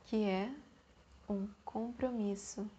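A Brazilian woman guiding a meditation in a soothing way, in short phrases separated by long pauses. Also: medium pitch, steady tone, mellow, dull audio, slight background noise. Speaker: meditation guide. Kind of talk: guided meditation.

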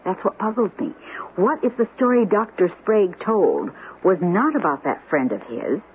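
A thoughtful, probing woman played by an actress with expressive swings of pitch, following her suspicions in an old radio mystery. The broadcast sound is thin and tinny, with noticeable hiss. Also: normal speed, lightly acted. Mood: probing, puzzled. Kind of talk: old-time radio mystery drama acting.

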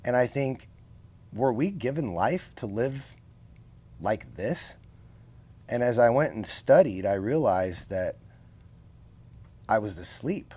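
A man's voice: medium pitch, animated, takes it slowly, long pauses, a little mellow, breathy edge. A man as a podcast guest, explaining his views earnestly and often checking in with "right?" and rhetorical questions. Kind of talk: casual conversational interview.

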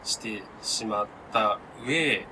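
A man co-hosting a podcast in casual chat with friends, playful and quick to break into laughter as he talks. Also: on the low side, background noise. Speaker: podcast co-host.

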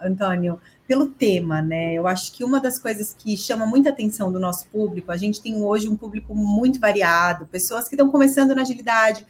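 A woman with a Brazilian accent, co-hosting a podcast and interviewing in a chatty, informal way. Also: medium pitch. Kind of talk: casual conversational interviewing.

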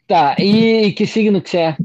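A man's voice: high voice, darkish tone, no room sound, muffled recording. A man hosting a podcast, asking questions in a hesitant way, repeating words and slipping in jokes. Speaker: podcast host. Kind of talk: casual interview.

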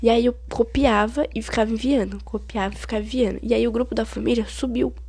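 A woman's voice: a little high, fairly even intonation, quick pace, darkish tone, husky, no echo. A young woman in her late teens with a Brazilian Portuguese accent, hosting a casual solo podcast while stuffed up with a cold, chatty and rambling with dramatic complaints. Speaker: podcast host.

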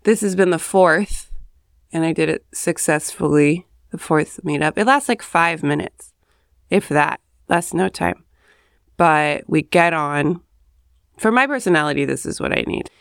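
Brief: woman host, just up and hoarse, gravelly edge, smooth resonant tone, rambling chatty asides, dry close sound. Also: low voice, measured pace, dry recording. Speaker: podcast host. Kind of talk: casual solo monologue. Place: home, early morning.

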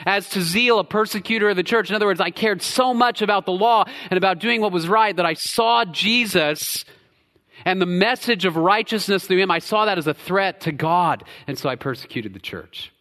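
A man from the United States preaching a sermon as pastor, swinging between loud emphatic stretches and quiet low drops. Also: normal speed. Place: church sanctuary. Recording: church hall with long reverb.

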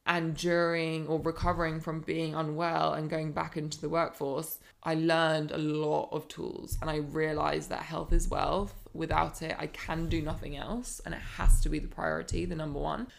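Podcast guest, woman in her thirties, life coach: earnest and reflective, with a husky vocal fry in a low voice. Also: normal speed, few pauses. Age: 30s. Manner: earnest, reflective, animated when building a point. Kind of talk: candid personal storytelling.

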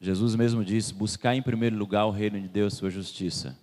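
A man's voice: on the low side, steady tone, a little fast, a little mellow. A man from Salvador, preaching a sermon in a church and putting questions to the congregation. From Salvador, Bahia, Brazil. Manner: questions the congregation and waits for replies. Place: church hall. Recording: long hall reverb.

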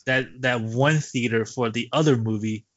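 A man's voice: medium pitch, normal speed, no room sound. A man co-hosting a movie podcast in a casual, conversational style. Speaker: podcast co-host. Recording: dull lo-fi podcast audio.

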